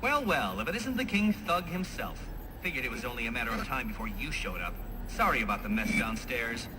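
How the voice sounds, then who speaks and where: A man's voice: mid-range voice, big pitch swings, a little fast, over music, close to an everyday voice, rough recording. An actor playing a smug, theatrical male criminal mastermind in a video game, mocking and self-satisfied. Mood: smug, taunting. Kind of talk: scripted game cutscene acting. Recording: loud background noise.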